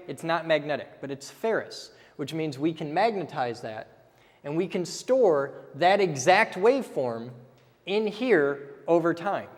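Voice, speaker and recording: A man's voice: a little high, long reverb tail. A man teaching step by step in a casual way, often checking in with "okay?" as he goes. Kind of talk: casual technical explanation while drawing.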